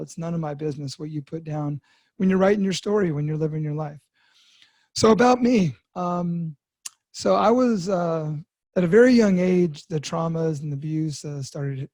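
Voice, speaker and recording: A man in his fifties speaks in a candid personal monologue, stopping and restarting often as he searches for words. His voice is mellow and sits a little high for a man. The recording is dry and close. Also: dry recording. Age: 50s. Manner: halting, with many fillers and restarts. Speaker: podcast host sharing recovery story.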